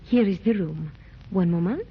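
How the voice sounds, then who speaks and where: A woman's voice: mid-range voice, animated, normal speed, close to an everyday voice. Woman doing a purring schemer, coaxing, muffled old radio. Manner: purring, coaxing, speaks of herself by name. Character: mysterious seductive foreign woman, scheming adventuress. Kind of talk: 1930s radio acting. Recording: dull 1930s radio transcription.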